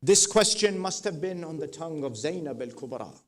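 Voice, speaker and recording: A middle-aged man giving a mourning sermon, telling a story in a voice that swells from quiet to loud with emphatic repetition. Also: on the high side, lively intonation, brisk. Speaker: preacher. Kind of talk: mourning sermon with narrated tradition.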